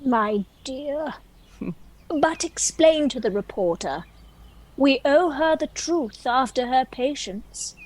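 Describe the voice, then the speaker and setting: Female dragon for stage comedy: fond, dry, fairly high, unhurried, some noise behind. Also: expressive, darkish tone, exaggerated voice, low-quality recording. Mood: fond, measured. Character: kindly, affectionate female dragon, wry loving companion. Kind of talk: gentle stage comedy dialogue.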